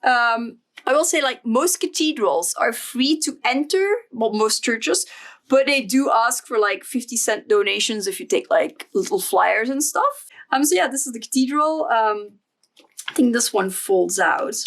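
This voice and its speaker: A woman's voice: a little high, expressive, measured pace. Chatty woman, lots of ums. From Flemish Belgium. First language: Dutch. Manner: chatty, rambling, many ums and uhs. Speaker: vlogger. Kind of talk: casual journal flip-through. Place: home desk room.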